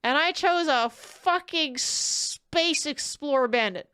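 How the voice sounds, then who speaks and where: A woman with a slightly high voice, recorded close and dry, reacts as a streamer. She talks casually and expressively, gushing and swearing freely. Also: lively intonation, takes it slowly, pushed and bright, no room sound.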